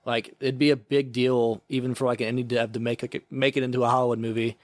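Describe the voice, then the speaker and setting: A man's voice: mid-range voice, level tone, fast, dry recording. Chill guy cohost, wry, mid voice, dry close sound. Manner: wry, says "bro" and "man". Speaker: podcast co-host. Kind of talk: casual chat.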